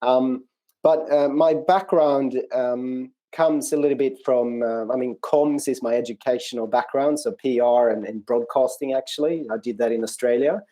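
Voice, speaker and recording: A man with a Swedish accent, speaking conversationally as an interview guest, in a reflective, hesitant way with frequent pauses. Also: medium pitch, steady tone, warm tone. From rural Sweden, outside Norrköping. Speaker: interview guest, non-profit country manager. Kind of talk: conversational personal account in an interview.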